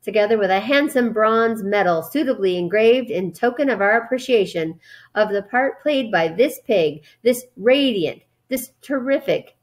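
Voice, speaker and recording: A woman's voice: medium pitch, close to an everyday voice. A woman voicing a pompous male fairground announcer in grandiose, formal oratory for a storybook.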